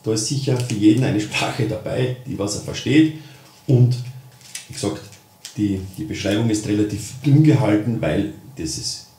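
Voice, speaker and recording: A man hosting a casual product review, speaking deliberately with emphasis on key words. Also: on the low side, animated, warm tone, slight background noise. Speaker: host of a fishing channel. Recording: roomy echo, distant microphone.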